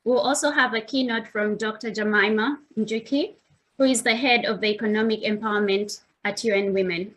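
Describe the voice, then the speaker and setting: A woman's voice: medium pitch, fairly even intonation, fairly quick, a bit bright, a little hiss or hum, low-quality recording. A woman hosting and opening a formal online launch event with a welcome speech. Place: online video meeting.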